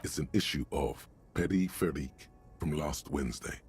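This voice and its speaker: A man voicing the omniscient narrator of a detective video game, delivering scripted descriptions in a slow, weighty way. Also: a bass voice, slightly rough, no room sound, heavily acted. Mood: calm.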